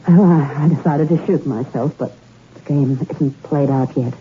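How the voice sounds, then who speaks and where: A bitter, self-loathing rich young woman who has killed , played by an actress, her voice slightly low. She confesses coolly in 1960s radio drama style. The old broadcast is muffled, with faint hiss. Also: normal speed, close to an everyday voice. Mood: cool confession. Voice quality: cool, confessional, then anguished.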